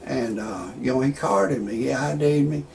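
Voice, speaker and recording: Rambling sixties man, gravelly voice. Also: medium pitch, darkish tone, a little hiss or hum. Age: 60s. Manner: rambling, halting, with frequent "uh" fillers and restarts. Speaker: amateur online show host. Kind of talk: rambling monologue with stand-up jokes.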